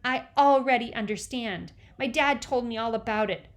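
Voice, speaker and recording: A woman voicing a bossy seven-year-old girl who scolds loudly and draws out her words. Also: a little high, lightly acted. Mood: prim lecturing. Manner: loud, scolding, drawn-out words.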